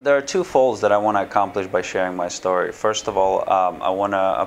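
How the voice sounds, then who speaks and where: Man, 30s, from Ukraine; flat, low voice; hesitant interviewee in an office. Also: a little hiss or hum. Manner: hesitant, many fillers and restarts. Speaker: swim school owner. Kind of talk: answering interview questions to camera. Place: small office indoors. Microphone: camera framed at chest height, seated.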